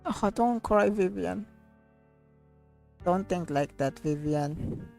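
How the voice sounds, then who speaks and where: Low-voiced, slow-talking woman streamer. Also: gravelly, darkish tone, soft background music. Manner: halting, searching for words, repetitive. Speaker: streamer reacting to a game story. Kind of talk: casual live game commentary.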